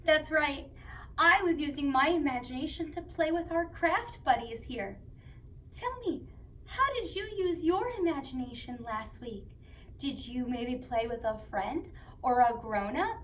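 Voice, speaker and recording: A woman hosting story time for young children, reading aloud and chatting to them in a playful, expressive way. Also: sits high, a little slow, a little hiss or hum.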